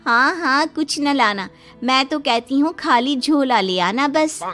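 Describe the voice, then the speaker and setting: Woman playing a high-voiced, grumbling young daughter-in-law. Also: measured pace, soft background music. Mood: sarcastic. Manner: grumbling, expressive. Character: exasperated, complaining young Indian daughter-in-law. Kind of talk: cartoon moral story acting.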